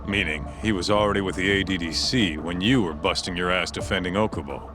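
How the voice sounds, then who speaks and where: Actor as a deep-voiced, blunt street-tough detective. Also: male voice, fairly quick, over music, natural voice. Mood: matter-of-fact. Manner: blunt, colloquial, slangy. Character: gruff, street-tough private investigator, wry sidekick. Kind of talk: video game cutscene acting.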